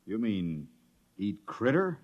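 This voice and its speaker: A man's voice: on the low side, sing-song, slow pace, heavily acted. A man playing a weary, ailing expedition scientist, glumly matter-of-fact, in 1950s radio drama style. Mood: reluctant. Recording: dull vintage radio recording.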